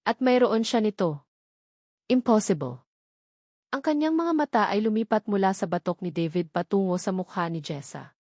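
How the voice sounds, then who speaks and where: A woman's voice: mid-range voice, a little mellow, no room sound. Woman narrating drama, dry close sound. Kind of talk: dramatic scripted story narration.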